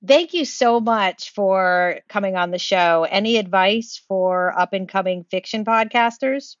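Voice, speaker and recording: A woman's voice: medium pitch, unhurried, no room sound, highs cut off. A woman hosting an interview podcast, putting questions to a guest and addressing listeners. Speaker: podcast host.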